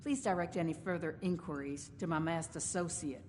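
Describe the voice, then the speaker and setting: Lady playing brisk dismissive game woman, formal and curt, big echoey hall, bit of rumble. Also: a little mellow, lightly acted. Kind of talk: video game voice acting.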